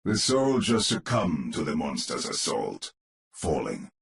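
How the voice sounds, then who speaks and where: A grave gothic storyteller with a very deep, creaky voice. He narrates a horror tale for a game with measured, theatrical menace, his pitch swooping dramatically through each phrase. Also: huge pitch swings, heavily acted. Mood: ominous, dramatic.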